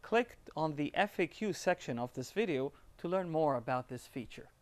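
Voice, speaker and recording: A man's voice: fairly high pitch, lively intonation, moderate pace. A man giving scripted step-by-step instructions as an upbeat tutorial presenter.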